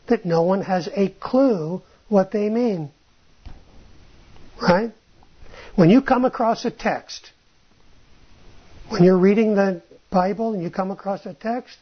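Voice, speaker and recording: Slow husky bible teacher thinking out loud, keeps asking "right?", dull lo-fi sound. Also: mid-range voice. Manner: ends points with a questioning "right?"; restarts phrases, thinks aloud. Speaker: Bible teacher answering listener questions. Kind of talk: informal teaching talk.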